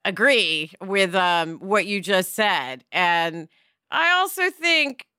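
Middle-aged woman on a podcast, chatty and lively, clear smooth voice, dry sound. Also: mid-range voice, takes it slowly, no room sound. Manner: animated, chatty, lots of asides. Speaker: podcast co-host. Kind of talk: casual podcast discussion.

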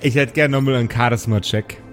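A man's voice: mid-range voice, fairly even intonation, quick pace, a bit bright, soft background music. A man narrating an improvised tabletop audio play as game master.

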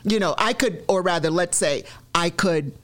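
A woman's voice: lowish voice, moderate pace. A middle-aged Nigerian-born woman, telling her story as an interview guest, reflective, with frequent ums and restarts. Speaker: guest, newspaper columnist. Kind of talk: conversational interview.

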